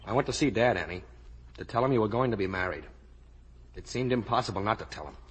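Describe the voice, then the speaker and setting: An actor with an American accent playing an embittered, accusing young lawyer back from war in a 1950 radio drama. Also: male voice, fairly low pitch, expressive, quick pace, breathy edge, poor audio. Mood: grave, weary.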